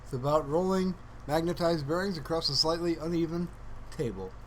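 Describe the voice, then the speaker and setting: A man's voice: on the high side, brisk, a little hiss or hum, poor audio. A man casually rambling to livestream viewers in a playful, mock-earnest, musing way. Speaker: livestreamer hosting his own stream.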